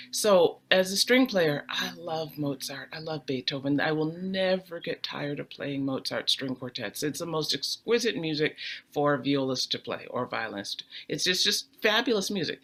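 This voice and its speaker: A woman's voice: low voice, a bit bright. Earnest middle-aged woman string player. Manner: earnest and emphatic, repeats words for weight. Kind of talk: personal storytelling on a panel.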